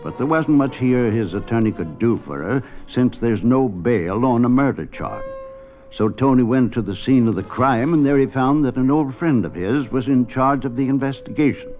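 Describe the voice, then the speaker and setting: Male narrator, scripted 1950s radio drama, mid-range voice, dull vintage sound, clear music bed. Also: medium pitch, moderate pace.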